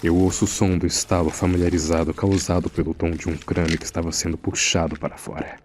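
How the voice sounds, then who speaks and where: A man's voice: low voice, level tone, fast, darkish tone, slight background noise, close to an everyday voice. A man playing an uneasy morgue assistant, narrating a horror audio drama in a grave, suspenseful manner. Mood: tense. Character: uneasy male morgue assistant recounting a frightening secret. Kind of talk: scripted first-person horror narration.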